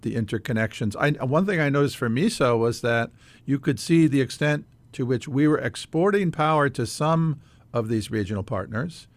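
A man's voice: mid-range voice, moderate pace, no room sound. An American man hosting a casual interview, speaking in a curious, conversational way. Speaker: host.

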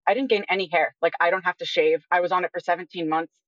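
A woman's voice: lowish voice, fairly even intonation, quick pace, no room sound, highs cut off. A woman in her twenties talking casually and candidly as an interview guest, often using "like" as a filler. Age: 20s. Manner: candid, informal, frequent "like" as filler. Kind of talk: casual personal conversation.

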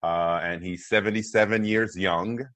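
Deep-voiced man telling his story. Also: fairly even intonation, moderate pace, no room sound. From Congo. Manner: warm, upbeat storytelling with frequent "uh" and "um" fillers. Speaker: podcast guest, motivational speaker. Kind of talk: conversational interview.

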